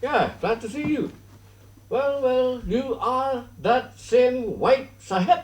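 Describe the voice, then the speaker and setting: A man playing the lead in a comedy series, talking in an excitable, chatty and boastful way. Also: very high-pitched, slow pace, noisy background. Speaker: main character in comedy series.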